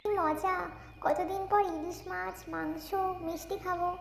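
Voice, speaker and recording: Cartoon young daughter: tiny, sweet, childlike, very high, roomy echo. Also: female voice, steady tone, measured pace, exaggerated voice. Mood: excited. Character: sweet, hopeful little village girl, devoted daughter. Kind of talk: Bengali cartoon fairy-tale acting. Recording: roomy echo, clear ambient bird noise.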